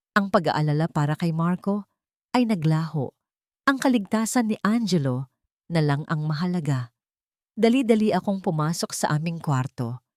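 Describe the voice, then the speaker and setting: A woman with a Filipino accent narrates a first-person family drama, reading in an emotional, measured way with dramatic pauses. Her voice sits fairly low. The recording is dry and close, with no room sound. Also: darkish tone, no room sound. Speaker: audiobook narrator.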